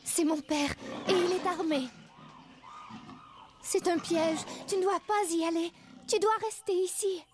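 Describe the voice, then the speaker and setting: A woman's voice: extremely high pitch, steady tone, a little slow, pauses often, very noisy. A woman voicing a sweet, brave little girl in a dubbed cartoon, earnest, with music behind her. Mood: alarmed. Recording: loud music under the voice.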